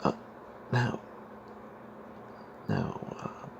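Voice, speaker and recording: A man narrating a first-person fantasy story as ASMR in a breathy whisper, hushed and halting, with wry asides. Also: muffled recording. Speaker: storyteller narrating own tabletop character. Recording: noticeable background noise.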